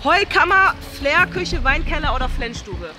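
A woman's voice: high voice, expressive, moderate pace, music in the background. A young woman casually presenting a challenge to camera and bantering with a group in a large indoor pool hall. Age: young adult. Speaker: host and quizmaster of a challenge video.

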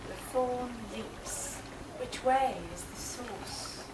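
A middle-aged woman giving a deliberate poetry recital, spacing out short phrases. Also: fairly high pitch. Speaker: poetry reader. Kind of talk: slow live poetry recital. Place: inside a marquee at a live reading. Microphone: camera mic a few metres away. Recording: distant, echoey, noisy camera recording.

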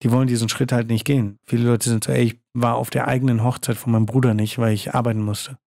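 A man's voice: medium pitch, level tone, quick pace, vocal fry, dark tone, dry recording, clean studio sound. A man talking as an interview guest in casual, slangy, colloquial speech. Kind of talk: casual chat.

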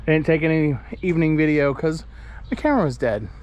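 A man chats folksily into a handheld camera held at arm's length, tired after a long day. His voice sits a touch high for a man. A faint outdoor hum runs underneath. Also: quick pace, a little mellow, lo-fi sound. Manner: easygoing, folksy and chatty. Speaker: farm vlogger. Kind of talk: casual vlog narration. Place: outdoors on a farm and in a pickup truck. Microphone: handheld action camera at arm's length.

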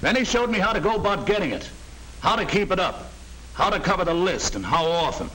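An actor playing an earnest middle-aged American sales manager in a 1940 training film, speaking in a firm, instructive way. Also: male voice, sits high, expressive, a little fast, sharp tone. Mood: reflective, earnest. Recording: noisy old 1940 film soundtrack.

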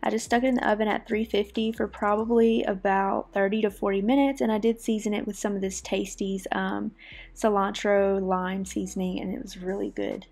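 A woman casually narrating her cooking in a relaxed, chatty voice-over. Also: medium pitch, a little fast, creaky. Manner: relaxed, chatty, friendly explaining. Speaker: cooking vlogger.

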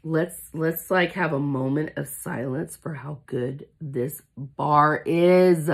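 A woman in her forties who has lost her voice, talking hoarsely with a cough in a chatty, gushing unboxing vlog, seated in a small room in front of a phone camera. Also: deep voice, slow. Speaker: independent product consultant. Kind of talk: casual product unboxing vlog. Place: small indoor room with shelves, seated at a table.

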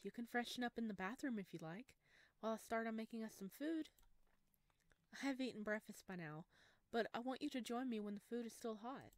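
A woman voicing a sweet, shy, eager male forest creature in a visual novel, gently and with hesitant stammers. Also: a little high, a little fast, no echo, close to an everyday voice. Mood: gentle, shy. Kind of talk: visual novel character read-aloud.

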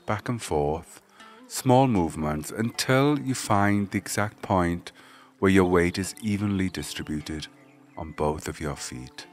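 A man leading a guided meditation, giving gentle, measured spoken instructions. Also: fairly low pitch.

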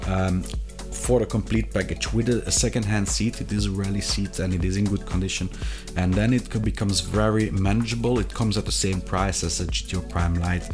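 A man in his thirties presenting a product review to camera, with a hesitant, halting delivery and frequent pauses. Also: low voice, fairly even intonation, over music. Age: 30s. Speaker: video reviewer of sim racing gear. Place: small indoor hobby room.

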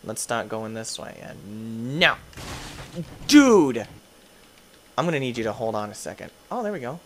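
A young man doing goofy gameplay commentary, tired, his voice deeper late at night, swinging between low mumbles and excited yelps. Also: unhurried, a little hiss or hum. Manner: goofy, playful, jumps between mumbling and yelping. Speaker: gaming youtuber.

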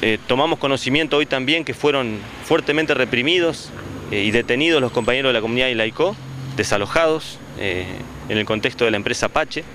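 A man's voice: medium pitch, pushed and bright, a little hiss or hum. A man in his forties, a union leader giving a denouncing statement outdoors into a handheld mic. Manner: denouncing, measured. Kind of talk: press statement. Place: outdoors on a town street. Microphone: handheld reporter mic.

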